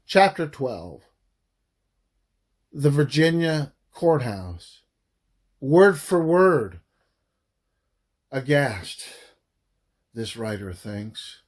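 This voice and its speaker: A man reading a historical book aloud as its narrator, with deliberate, emphatic delivery in short halting phrases. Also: mid-range voice. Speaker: audiobook reader, author of the book.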